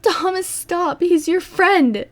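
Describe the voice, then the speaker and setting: A woman playing a tender, desperate young woman in love in an audio drama, with breathy, halting, pleading delivery. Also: very high voice, warm tone. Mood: screaming alarm.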